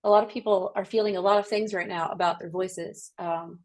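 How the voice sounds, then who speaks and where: A woman's voice: mid-range voice, steady tone, brisk, darkish tone. A woman, a singer, chatting casually and emphatically to viewers on a live video call. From Ireland. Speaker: singer and co-host. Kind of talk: casual live-stream chat.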